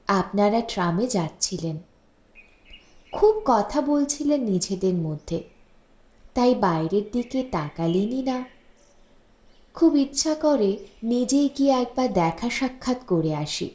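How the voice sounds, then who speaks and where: A woman's voice: on the high side, mellow. A woman voicing a harried, polite housewife's letter, chatty and apologetic, in an audiobook story. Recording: echoey room, music bed underneath.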